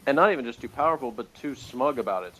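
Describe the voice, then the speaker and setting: A man chatting casually as a review co-host, speaking in a hesitant, halting way. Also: medium pitch, expressive, a bit bright, slight background noise, poor audio. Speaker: podcast co-host reviewing audio dramas.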